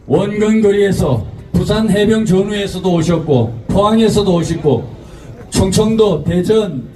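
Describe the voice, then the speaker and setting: A man emceeing an outdoor protest rally, making formal announcements and shouting cues to the crowd. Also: high voice. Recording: distant PA voice with loud crowd and music.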